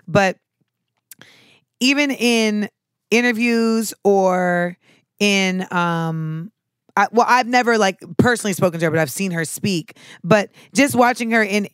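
Woman, casual monologue, sharp and clipped, mid pitch, dry close sound. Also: smooth, no room sound.

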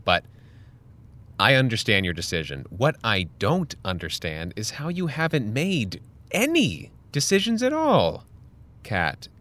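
A man playing a patient but firm high school counselor, measured and earnest, in a fiction podcast. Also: fairly bright tone. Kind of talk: scripted audio drama dialogue.

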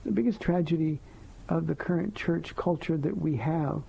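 A soft-spoken man hosting a live call-in radio show as a pastor, answering listener questions in a gentle, earnest and reflective way with slow emphatic phrases, heard over a dull lo-fi broadcast. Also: on the high side, creaky, a little hiss or hum. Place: radio studio.

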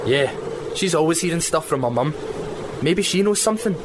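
Earnest, pedantic schoolboy, swooping pitch. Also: female voice, lively intonation, fairly quick, noisy background. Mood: curious. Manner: literal, nitpicking, inquisitive. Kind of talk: naturalistic radio drama dialogue.

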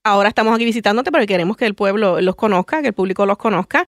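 A woman's voice: medium pitch, rapid-fire, no room sound, studio quality. A woman police officer who is a guest on an interview, talking eagerly and explaining at length.

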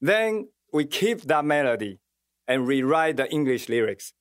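A man's voice: fairly high pitch, sing-song, measured pace, no echo, clean studio sound. A man from Taiwan with a Taiwanese accent, a metal musician answering interview questions in earnest, searching for his words in English.

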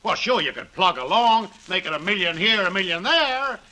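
A man's voice: high voice, lively intonation, moderate pace, pushed and bright, full character voice. A man playing a cocky small-time schemer in 1940s radio comedy, with slangy, pushy sales patter. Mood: pushy, excited. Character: brash small-time male schemer, fast-talking and cocky. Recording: noisy 1940s radio recording.

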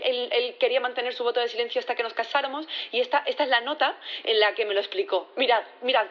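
Earnest, halting female witness played by an actress. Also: a little high, highs cut off, close to an everyday voice. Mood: urgent, insistent. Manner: halting, repeats words, insistent. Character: earnest, loyal woman witness defending her robot partner. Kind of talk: audio drama acting.

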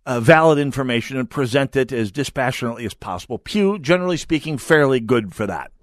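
A man's voice: medium pitch, lively intonation, fast, no echo, studio quality. A man hosting a talk radio show, speaking emphatically and wryly, with frequent restarts. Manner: emphatic, wry, restarts often. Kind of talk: talk radio monologue and calls.

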